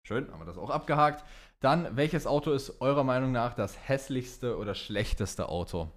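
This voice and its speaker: A man's voice: mid-range voice, animated, normal speed. Young male co-host, mid-range voice, loose and slangy podcast banter. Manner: slangy, crude, colloquial. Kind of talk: casual chat about Formula One.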